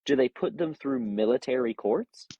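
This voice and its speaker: A man's voice: mid-range voice, animated, normal speed, dark tone, lo-fi sound. A man hosting a live-stream debate, pressing his opponent with repeated questions while apologizing for cutting in. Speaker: show host.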